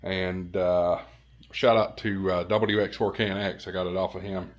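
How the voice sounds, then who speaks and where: A man's voice: low voice, little pitch movement, moderate pace. A man chatting casually to a camera in a hotel room, easygoing and hesitant, often repeating himself. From American South. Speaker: vlogger and ham radio YouTuber. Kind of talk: casual vlog chat.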